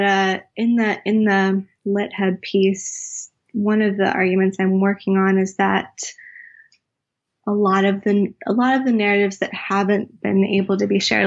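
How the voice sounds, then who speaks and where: A woman writer and teacher from the United States, answering interview questions in a thoughtful, searching way. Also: medium pitch. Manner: thoughtful, searching, with restarts and filler. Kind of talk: reflective conversational interview. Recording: dull lo-fi recording.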